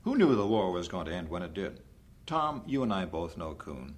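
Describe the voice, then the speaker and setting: A low, very animated male voice plays a mission bishop in a vintage-style radio drama. He is earnest and sure of himself, stressing his points to persuade. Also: moderate pace. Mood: firm, earnest. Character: mission director bishop, earnest, confident, resolute. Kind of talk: old-fashioned radio drama acting.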